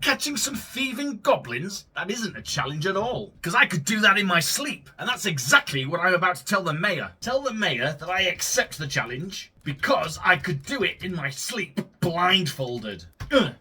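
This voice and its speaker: A man hosting a gameplay video, commenting theatrically with shouted bursts and sarcastic asides. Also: sits high, sing-song, normal speed, hardly any pauses, slightly rough. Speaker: gaming video host.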